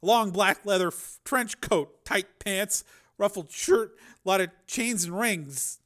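A man's voice: high-pitched, unhurried, a bit bright, pitched above the performer's own voice. A man voicing a polite, nervous, battered boy who halts and stumbles over his words in an audiobook. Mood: bitter, hesitant. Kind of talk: audiobook character voice.

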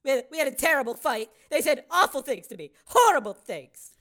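An actress voicing a distraught estranged mother, anxious, halting and tearful in a dramatized audiobook. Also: high-pitched, sing-song, fairly bright tone.

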